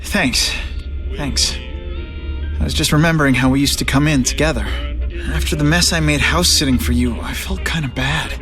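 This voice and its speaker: A man's voice: a little high, normal speed, close to an everyday voice. A man playing a young wisecracking, anguished superhero in a video game. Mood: subdued. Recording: loud music over the voice.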